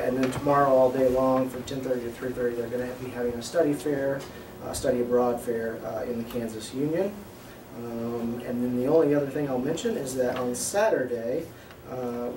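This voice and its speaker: A man hosting a lunch talk, giving announcements and introducing the speaker in a casual way with many uhs. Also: medium pitch. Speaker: event host. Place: lecture room. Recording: echoey room, distant mic, noisy.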